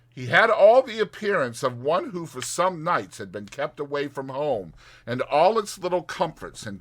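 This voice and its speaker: A man narrates a classic story in dramatized audiobook style. His delivery is bright and forceful, with hugely varied, expressive intonation. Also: on the high side.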